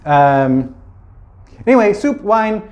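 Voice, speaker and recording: A man's voice: high-pitched, very animated, slow, a little mellow. A man telling a children's fairy tale informally, as a storyteller, with playful, chatty asides.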